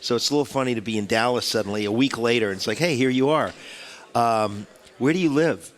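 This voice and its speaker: A man's voice: medium pitch, fast. Stuffy-nosed man hosting radio talk. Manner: rambling, chatty, many restarts. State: stuffed up with a cold. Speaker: radio show host. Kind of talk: casual radio interview chat. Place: radio studio.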